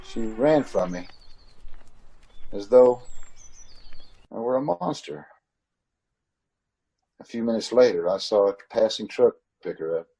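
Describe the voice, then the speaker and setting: A man acting out a frightened, desperate traveler in an old radio thriller. His voice is very low, dark and husky. He narrates in a brooding, halting, dramatic way. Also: animated, full character voice, low-quality recording. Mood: haunted dread.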